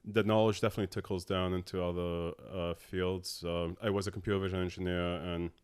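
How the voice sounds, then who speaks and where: Man in his thirties, deep voice, clear and resonant, hesitant podcast guest. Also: level tone. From Abu Dhabi. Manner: halting, many filler uhs. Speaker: podcast guest, tech co-founder. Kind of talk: casual interview talk.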